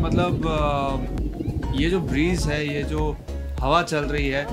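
A young man narrating a casual travel vlog while exploring hill viewpoints and restaurants, relaxed and chatty, with many fillers and English phrases mixed in. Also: a little high. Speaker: travel vlogger.

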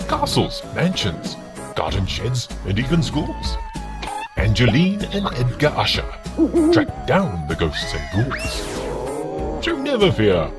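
A man giving a theatrical, spooky rhyming narration for a children's book trailer. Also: medium pitch. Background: loud music.

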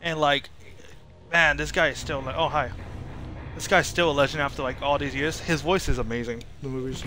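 A man's voice: fairly high pitch, steady tone, normal speed, background noise. A man doing casual live gameplay commentary as a streamer, rambling and thinking aloud. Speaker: video game streamer.

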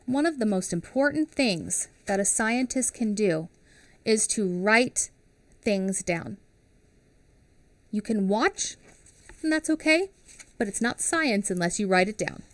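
Woman in her thirties, teacher reading aloud for kids, lively rising and falling voice, dry close headset sound. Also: mid-range voice, takes it slowly, pauses often, no room sound. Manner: expressive, asks children questions. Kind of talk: children's picture book read-aloud. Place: small home studio with classroom backdrop. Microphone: headset mic.

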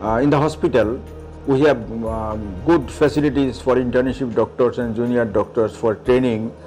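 A man's voice: mid-range voice, steady tone, normal speed, a little mellow, faint music behind, low-quality recording. A middle-aged man, a hospital official, giving factual interview statements in an office. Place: office indoors.

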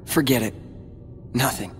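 A man's voice: medium pitch, measured pace, big-room sound, a little hiss or hum, natural voice. A young American man playing a brooding, stubborn teenage boy warrior in a video game cutscene, with a terse delivery. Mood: guarded, resolute.

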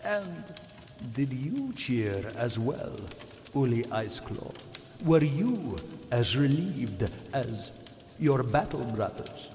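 A stern, probing warrior priest with a gravelly voice that swings widely in pitch. He questions slowly and weightily, in a long reverb. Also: big pitch swings, a little mellow, a little hiss or hum. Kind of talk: audio-drama acting. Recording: long reverb with music underneath.

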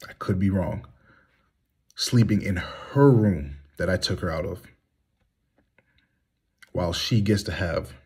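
A man's voice: low voice, darkish tone, husky. A man in his thirties speaking bluntly and heatedly in a candid monologue, reclining in a small room and filming himself on a phone held at arm's length. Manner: heated, blunt, rhetorical questions. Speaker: vlogger.

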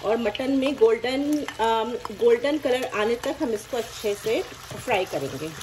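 A woman's voice: fairly high pitch, darkish tone, slight background noise, rough recording. Woman, cooking voice-over. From Pakistan. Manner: friendly, explains each step plainly. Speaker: cooking channel host. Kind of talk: step-by-step recipe voice-over. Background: frying and sizzling.

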